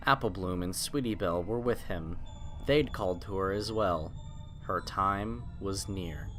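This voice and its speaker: A man's voice: low-pitched, a little slow. A man reading a horror story as a scripted dramatic narration, solemn and suspenseful, drawing out key phrases. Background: music.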